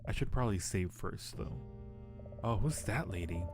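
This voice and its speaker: A man's voice: on the low side, lively intonation, moderate pace. A man hosting a casual game livestream, reading story text aloud and chatting between murmured asides. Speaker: livestream host. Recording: music behind the voice. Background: music.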